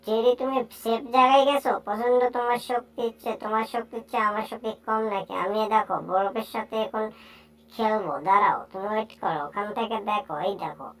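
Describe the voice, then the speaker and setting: A woman voicing an excitable, teasing cartoon animal pal in a playful, exclaiming amateur dub. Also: on the high side, brisk, recorded from a distance, lightly acted, low-quality recording. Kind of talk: amateur Bangla cartoon dub, improvised chatter.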